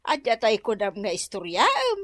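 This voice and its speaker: A woman's voice: mid-range voice, wildly swooping pitch, normal speed, fairly bright tone, no echo, studio quality. A woman with an Ilocano accent playing an inquisitive, easily amazed gossip in a comedy radio drama. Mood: curious, amazed. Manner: inquisitive, exclamatory.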